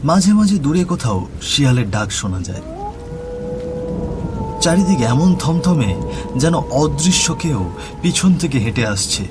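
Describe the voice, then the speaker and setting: A man's voice: a little high, big pitch swings, a little slow, long pauses, noisy background. A man narrating a scripted horror story as the storyteller. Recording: music bed with rain and thunder.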